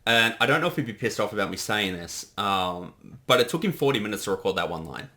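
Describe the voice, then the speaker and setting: A man's voice: on the low side, lively intonation, fast, pushed and bright. A man giving casual, rambling creator commentary, often interrupting himself. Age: 30s. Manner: hesitant, rambling, self-interrupting.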